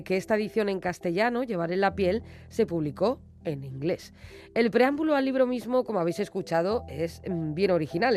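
Low-pitched woman presenting a book review. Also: darkish tone. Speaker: radio book show host and reviewer. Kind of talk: semi-scripted book review narration.

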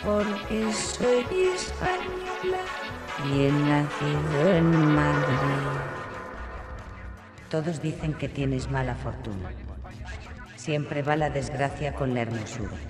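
A male singer playing a singing algorithm that personifies a constitution, in sustained operatic zarzuela style over loud musical accompaniment. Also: mid-range voice, slow, long pauses, over music, lightly acted. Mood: proud. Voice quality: sung, sustained melodic lines.

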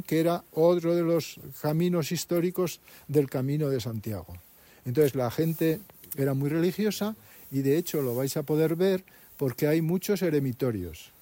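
A man, working as a local guide and explaining history and landscape in a halting way, with many fillers and restarts. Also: fairly high pitch, warm tone, dry recording.

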